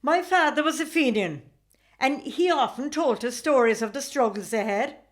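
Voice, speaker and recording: An actress with a rural Irish accent playing a kindly, devout, talkative farmhouse hostess in a radio play. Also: female voice, sits high, animated, moderate pace. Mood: earnest. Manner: homely, colloquial, effusive.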